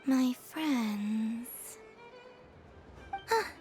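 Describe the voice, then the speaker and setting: 一位女演员在游戏剧情中为温柔而略带忧思的年轻月神配音，声音轻柔带气声，常近乎耳语。. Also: female voice, on the high side, faint music behind. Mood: curious, gentle. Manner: slow, soft, often breathy near-whisper. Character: gentle, wistful young moon goddess, softly earnest. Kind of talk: video game story dialogue.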